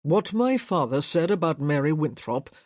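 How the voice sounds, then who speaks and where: A woman voicing a stern, proud young gentleman in an audiobook, speaking firmly with controlled, clipped delivery. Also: low-pitched, sing-song, normal speed, no echo, studio quality. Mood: exasperated. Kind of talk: audiobook character voice.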